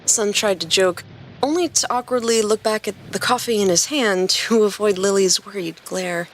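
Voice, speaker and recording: A woman's voice: medium pitch, moderate pace, a little hiss or hum. A woman reading scripted story narration as a podcast narrator and host.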